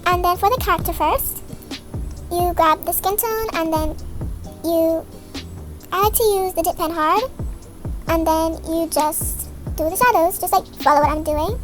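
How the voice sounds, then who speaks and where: A woman's voice: very high-pitched, a little slow, pauses often. A woman giving a casual, improvised step-by-step tutorial as a voice-over. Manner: informal, improvised explaining. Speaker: video creator giving a tutorial. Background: music.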